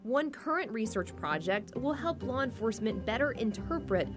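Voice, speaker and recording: A woman news reporter reading a scripted voice-over in a measured, deliberate way. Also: on the high side, animated. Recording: voice-over with music bed.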